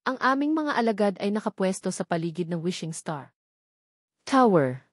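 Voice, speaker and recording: A woman's voice: medium pitch, very animated, moderate pace, no room sound. Female narrator as dutiful male general in an audiobook, formal, respectful, dry close sound.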